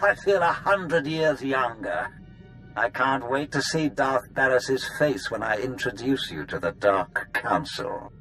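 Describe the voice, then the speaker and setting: A man's voice: medium pitch, lively intonation, unhurried, fairly bright tone, faint music behind, low-quality recording. An actor playing a fervent, grandiose aged male Sith in a sci-fi video game, with theatrical, exultant delivery. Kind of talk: scripted video game dialogue.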